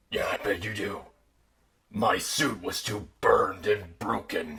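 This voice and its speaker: A man voicing a bitter, unhinged undead killer animatronic father telling an ASMR bedtime story, gravelly and theatrical, moving between menacing murmurs and outraged outbursts. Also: fairly low pitch, bright, forceful tone, heavily acted.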